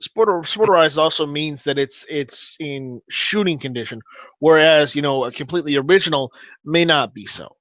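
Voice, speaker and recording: A man chatting casually as a podcast co-host, joking and quick to tease. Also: a little high, fairly bright tone, no echo. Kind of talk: casual chat about firearms. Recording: dull lo-fi mic audio.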